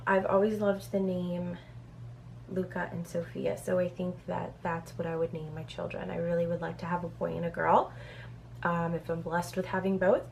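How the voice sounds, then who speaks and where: A woman's voice: on the low side, vocal fry, a little hiss or hum. A woman in her thirties from Brazil, chatting casually through a get-to-know-me Q&A on her vlog and reading questions off a paper with plenty of "um"s. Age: 30s. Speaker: beauty vlogger.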